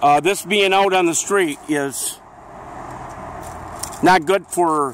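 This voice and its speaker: A man's voice: sits high, slow, a bit bright, slight background noise. A man with a friendly, rambling manner, interviewing people outdoors on a town street into a handheld camera.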